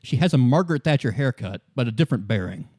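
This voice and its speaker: Guy game mastering a tabletop rpg, kinda halting and chatty, midrange voice, real dry audio. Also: medium pitch, lively intonation, darkish tone, no room sound. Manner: conversational, halting, rephrases as he goes. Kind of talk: improvised tabletop game narration.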